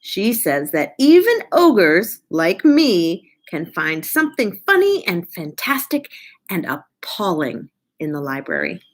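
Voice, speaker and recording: A woman reading a picture book aloud to children in an expressive, deliberate way, as a children's librarian. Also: fairly low pitch. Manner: animated, drawn-out, deliberate delivery.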